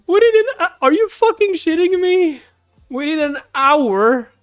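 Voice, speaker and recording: A man's voice: very high-pitched, lively intonation, measured pace, soft background music. A man reading a webtoon aloud and reacting casually, exclaiming and swearing with mocking disbelief. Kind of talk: casual live reaction and read-along.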